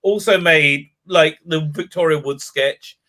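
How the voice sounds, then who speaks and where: A man's voice: fairly high pitch, unhurried, bright, forceful tone. A man on a podcast panel arguing his point casually and insistently. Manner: argumentative, insistent.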